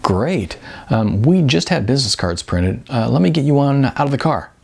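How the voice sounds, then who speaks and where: A man voicing a laid-back, apologetic young movie star in an audiobook, speaking in a halting, casual, earnest way. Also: mid-range voice, very animated, creaky, a little mellow, breathy edge, full character voice. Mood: friendly, offering.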